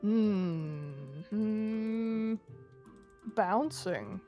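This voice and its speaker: Brief: woman game player, mid-pitched, very slow casual delivery. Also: highs cut off, soft background music. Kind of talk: casual chat.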